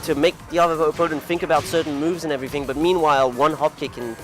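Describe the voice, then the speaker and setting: Guy doing casual fighting game commentary, bit higher voice, rambly and self-mocking, loud music in the back. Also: fairly even intonation, quick pace. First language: not English. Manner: chatty, rambling, laughs at himself. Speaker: tournament commentator. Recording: music playing loudly behind.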